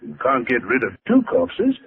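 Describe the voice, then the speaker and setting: An actor in an old radio drama playing a nervous, blustering gentleman who stammers and gets flustered. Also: medium pitch, wildly swooping pitch, moderate pace, vocal fry, a crowd in the background. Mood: alarmed. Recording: noisy, tinny old radio recording.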